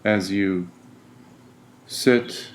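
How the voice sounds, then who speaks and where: Male meditation guide: unhurried, very slow delivery with long pauses, lowish pitch, some background noise. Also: fairly even intonation. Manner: deliberate, soothing, frequent pauses between phrases. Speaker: meditation guide, Buddhist monk.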